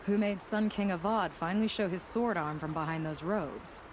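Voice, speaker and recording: Woman playing a low-voiced, swaggering warden. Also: normal speed, noisy background, close to an everyday voice. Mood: proud, wry. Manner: boastful, commanding. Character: stern, swaggering female prison warden warrior. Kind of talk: video game drama acting. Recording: clear outdoor game ambience behind voice.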